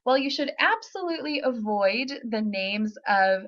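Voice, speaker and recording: A woman's voice: fairly high pitch, animated, unhurried, fairly bright tone, lo-fi sound. A woman working as a university admissions representative, answering typed questions in a webinar in a friendly, informative way with frequent ums and uhs.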